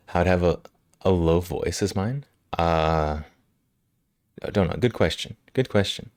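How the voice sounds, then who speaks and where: Very deep-voiced man, a bit hoarse. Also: slow, no echo, studio quality. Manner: relaxed, laughs often, answers chat. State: hoarse from a cold. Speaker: livestream host. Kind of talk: casual chat with live chat. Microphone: studio microphone.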